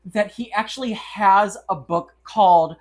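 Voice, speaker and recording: A woman's voice: mid-range voice, a little slow. A young American woman chatting casually as a podcast co-host, irreverent and slangy with some swearing. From United States. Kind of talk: casual chat, book review banter.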